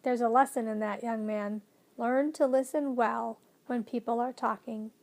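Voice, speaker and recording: Storybook old professor, played by a woman: kindly, fussy, grandfatherly, exclamatory, high for the part. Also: fairly even intonation, normal speed, gravelly. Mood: weary, earnest. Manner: fervent, exclamatory, gently lecturing.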